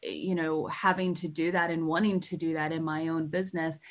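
A woman in her thirties with an American accent, speaking in an animated, informal way as a guest in a casual interview. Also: sits low, moderate pace. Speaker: podcast guest, sales coach.

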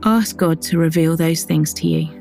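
A woman giving a calm, measured scripted devotional reading that moves into a guided prayer. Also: deep voice, gravelly, faint music behind. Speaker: devotional reader.

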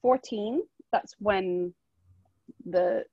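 A woman's voice: mid-range voice, very slow, vocal fry, slightly rough, no room sound, dull audio. A woman from Hong Kong talking casually as an interview guest, telling her own story.

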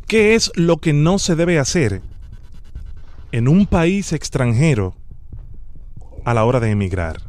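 A man's voice: a little high, big pitch swings, slow pace, pauses often, darkish tone, soft background music. A man playing a formal, bureaucratic immigration officer in a prank phone call, sternly polite and officious. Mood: solemn. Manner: formal, officious, repeats the name.

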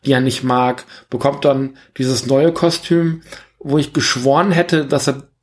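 A man's voice: mid-range voice, fairly even intonation, unhurried. A man in a casual film review discussion as podcast co-host, making his points emphatically and repeating them.

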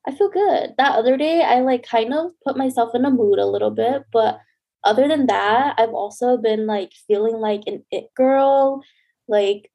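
A young woman co-hosts a podcast, chatty and full of "like", still a little stuffy from a cold. Her voice sits a bit high but sounds mellow and soft. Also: moderate pace. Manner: chatty, lots of "like". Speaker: podcast co-host. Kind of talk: casual podcast chat.

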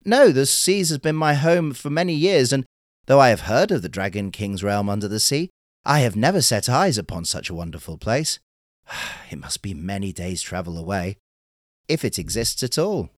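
A man's voice: medium pitch, animated, normal speed, no echo, clean studio sound, lightly acted. A man voicing a humble, curious fisherman in a storybook tale, speaking earnestly and modestly. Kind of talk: storybook character voice.

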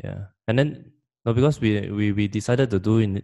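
A man's voice: on the low side, moderate pace, creaky, dark tone. A young Singaporean man co-hosting a podcast, his throat phlegmy from the haze, bantering playfully and teasing himself. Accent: Singaporean English. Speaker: podcast co-host. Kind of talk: casual chat.

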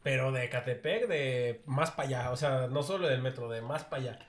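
A man's voice: medium pitch, moderate pace. A young man co-hosting a podcast, chatting crudely and joking with slang. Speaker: podcast co-host. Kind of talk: casual banter.

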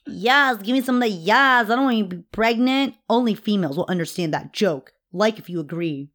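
A young woman co-hosting a podcast in casual chat, teasing and quick to laugh. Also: mid-range voice, expressive, fairly bright tone. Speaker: podcast co-host.

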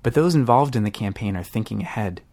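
A man's voice: lowish voice, expressive, quick pace, darkish tone. A man hosting a podcast, reading scripted news narration. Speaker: podcast host.

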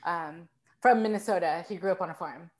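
A woman chatting as a podcast guest, telling stories in an animated, self-mocking way. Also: lowish voice, brisk. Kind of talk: casual conversational storytelling.

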